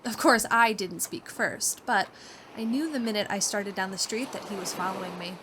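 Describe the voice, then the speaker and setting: An actress playing a shy, excitable, romantic spinster in her forties, breathless and girlish, in old-time radio drama style. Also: mid-range voice, normal speed, noisy background, natural voice. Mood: excited. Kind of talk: 1940s radio acting, diary narration and dialogue.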